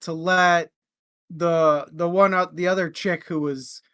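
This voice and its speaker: A man hosting a casual book discussion, thinking aloud in a halting way. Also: a little high, steady tone, bright, forceful tone, smooth, dry recording, clean studio sound. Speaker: book discussion show host.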